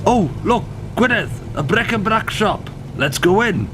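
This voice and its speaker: An actor playing a proud, old-fashioned, middle-aged father in a radio play, gruff and given to lecturing. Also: measured pace. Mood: cheerful. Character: proud, stubborn, old-fashioned working-class Welsh father. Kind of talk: 1940s-style radio play acting. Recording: steady loud rumbling noise behind.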